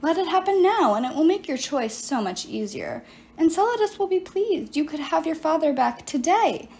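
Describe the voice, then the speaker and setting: A woman's voice: extremely high pitch, sing-song, moderate pace, a little mellow, voice pushed up, exaggerated voice. A woman voicing a scheming, vengeful ancient sorceress in an audiobook, theatrical and coaxing. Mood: persuasive. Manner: coaxing, self-pitying, theatrical. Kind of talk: audiobook character voice.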